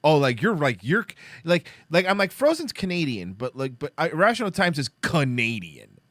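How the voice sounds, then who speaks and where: A man's voice: on the high side, very animated, brisk, no echo. A man hosting a podcast, swearing and mocking in crude comedic banter. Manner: loud, jokey, mocking, swears a lot. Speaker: podcast host.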